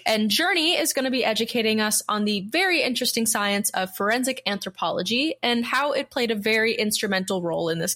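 A young woman hosts a podcast and opens with a friendly, upbeat welcome. Her voice sits a little high for a woman. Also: a bit bright. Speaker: podcast host. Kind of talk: podcast introduction.

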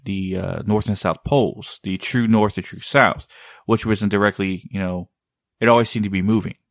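Low-voiced guy rambling casually, keeps hunting for words, dry close sound. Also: fairly even intonation, normal speed, dry recording. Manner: rambling, often searching for words. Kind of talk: casual chat.

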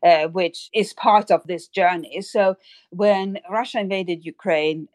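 A woman speaking as an expert guest in an interview, explaining things in an articulate, measured way. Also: on the low side, darkish tone, dry recording. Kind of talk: spoken analysis in an interview.

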